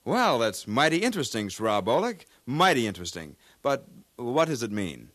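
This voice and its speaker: An actor playing an eager, courteous radio correspondent with earnest, polished delivery in a 1950s radio drama. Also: male voice, medium pitch, big pitch swings, normal speed, fairly bright tone, no room sound. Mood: eager, curious.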